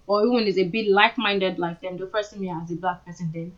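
A woman with a Nigerian accent speaks at a middling pitch in a casual, earnest way. She sounds like a podcast co-host airing her opinions in conversation. The audio is a little dull and lo-fi. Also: steady tone, quick pace.

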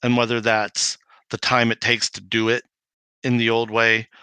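Bright-voiced man telling stories. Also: mid-range voice, normal speed, clear, resonant tone, no room sound. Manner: rambling, animated retelling with quoted dialogue. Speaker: podcast guest, homebrewing video maker. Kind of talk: casual anecdotal interview talk.

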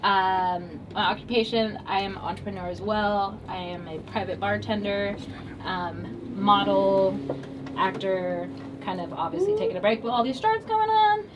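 A woman in her thirties introduces herself slowly, drawing out her words. She sounds set back from the mic, and steady noise fills the background. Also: mid-range voice, far from the mic. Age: 30s. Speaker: guest.

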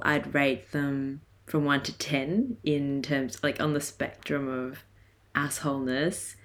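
A woman in her twenties with a hoarse, raspy voice, chatting casually and laughing often as she hosts her podcast. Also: sits low. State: hoarse from a night of singing. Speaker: podcast host. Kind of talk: casual solo podcast chat.

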